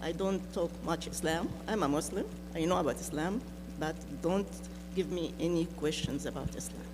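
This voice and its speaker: An older woman with an Egyptian accent speaks informally on a panel. Her voice is fairly low for a woman, and a clear hum runs behind it. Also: normal speed. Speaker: nuclear engineer, panelist. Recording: noisy room with clear hum.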